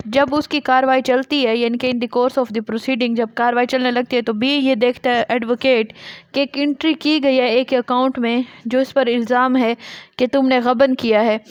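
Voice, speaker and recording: A woman giving a law lesson, reading out formal text and explaining it by posing and answering her own questions. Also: fairly high pitch. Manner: explanatory, poses questions and then answers them. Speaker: law lecturer.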